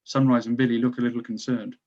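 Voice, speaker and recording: A man's voice: mid-range voice, level tone, fast talker, darkish tone, highs cut off. A man reading stage directions as scripted narration for a screenplay table read.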